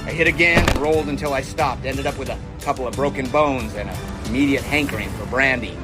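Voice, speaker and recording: A man's voice: mid-range voice, fast talker, loud music behind the voice, natural voice. A man playing a confident, seasoned 1920s test pilot in a scripted audio drama, talking in a folksy, assured, explanatory way. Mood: gritty recounting.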